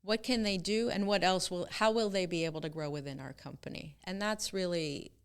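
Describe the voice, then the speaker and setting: Smooth low-voiced woman, earnest and measured. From Israel. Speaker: guest, company co-founder. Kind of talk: casual interview talk.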